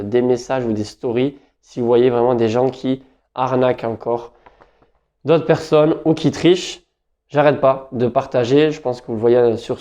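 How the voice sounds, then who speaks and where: A man's voice: medium pitch, dark tone. A French man giving football betting tips in a casual, rambling talk, often correcting himself. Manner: chatty, rambling, self-correcting. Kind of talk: casual solo talk to camera.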